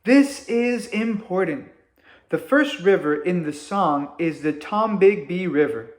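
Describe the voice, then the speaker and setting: An earnest peg-legged guide from a children's storybook, acted out by a man reading aloud. The voice is raised in pitch and very slow. Every instruction comes out emphatic and drawn out, as if teaching a vital route. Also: animated. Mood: earnest, instructive.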